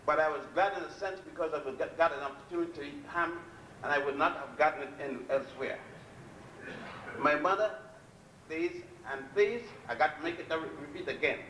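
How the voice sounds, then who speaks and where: An older man giving a public lecture from a podium, blunt and defiant, telling his story with long pauses. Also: on the high side, sharp tone, distant mic, slight background noise. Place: lecture hall at a podium before a chalkboard. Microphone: podium mic on a stand, filmed from a distance. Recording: echoey hall, recorded from afar.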